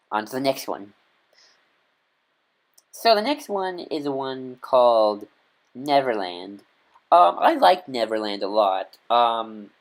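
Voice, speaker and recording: A man giving casual, unscripted recommendations as a fan reviewer, rambling hesitantly and often correcting himself. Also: medium pitch. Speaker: vlogger reviewing audio dramas.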